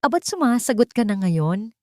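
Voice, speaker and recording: A haughty older matriarch, played by a woman narrator in an audio love drama. She scolds and questions imperiously, with a sharp edge. The sound is dry and close. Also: on the high side, big pitch swings, moderate pace, dry recording, pitched above the performer's own voice. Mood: indignant.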